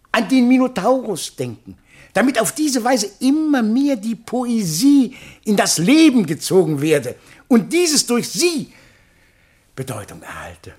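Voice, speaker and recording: A man's voice: extremely high pitch, slightly rough, heavily acted. An actor playing a pompous, fawning man of letters in a radio play, speaking in ornate, self-important oratory. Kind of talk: theatrical radio play acting.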